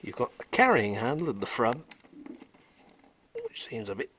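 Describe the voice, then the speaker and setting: A man giving an unhurried, technical show-and-tell of an old tape recorder he repaired, pausing often with "uh" as he points out its features. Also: medium pitch, huge pitch swings, a bit bright, slight background noise. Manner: unhurried, technical, with frequent "uh" pauses. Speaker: vintage electronics restorer. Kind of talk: casual show-and-tell demonstration.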